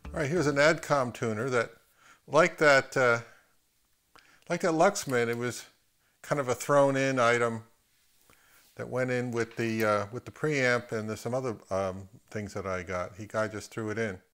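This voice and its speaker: A man giving a casual, unscripted equipment review as a video host, thinking aloud in a halting way and repeating words. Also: medium pitch, a bit bright. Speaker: host of an audio gear video channel.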